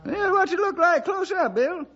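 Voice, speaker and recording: An actor playing an excitable, folksy old cowboy sidekick with a high, cracking voice in a radio western. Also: male voice, normal speed, heavily acted. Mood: amazed. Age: older. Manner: folksy, exclaiming, rustic drawl. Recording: dull vintage radio recording.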